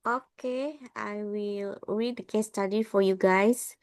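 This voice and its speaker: A woman with an Indonesian accent reading a case study aloud in class, careful and unhurried, with small slips. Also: medium pitch, fairly even intonation, darkish tone, clear, resonant tone.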